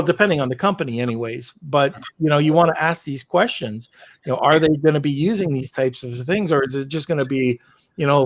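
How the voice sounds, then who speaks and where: A man's voice: a little high, dry recording. A man, a guest on an interview show, telling an anecdote casually with many fillers while he searches for words. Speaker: guest, logistics business founder.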